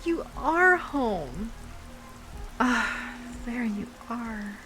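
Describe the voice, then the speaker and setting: A woman playing an obsessive, lovesick stalker ex-girlfriend in an intimate audio roleplay monologue, sweet yet menacing, with a breathy delivery that drifts into whispers. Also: a little high, sing-song, drawn-out words, exaggerated voice, poor audio. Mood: giddy, ominous. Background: rain and music.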